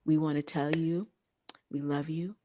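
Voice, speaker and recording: A woman with a fairly low voice and a Texas accent co-hosts a casual talk show. She talks informally and leans on key words with deliberate emphasis. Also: flat delivery, dark tone, slight background noise. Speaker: radio show co-host. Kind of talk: casual chat with promotional read-outs.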